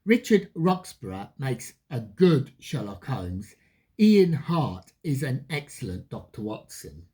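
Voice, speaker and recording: Slow, husky reviewer with lively pitch swings. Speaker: film reviewer, channel host. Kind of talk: retrospective film review commentary.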